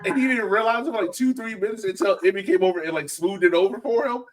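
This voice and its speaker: A man's voice: sits high, quick pace. A man co-hosting a casual sports podcast, talking in a slangy, storytelling way. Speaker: podcast co-host.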